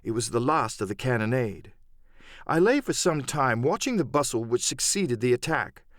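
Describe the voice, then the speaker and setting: A man's voice: mid-range voice, lively intonation, normal speed, dry recording, studio quality. A man reading a first-person adventure story as a dramatic scripted audiobook narration.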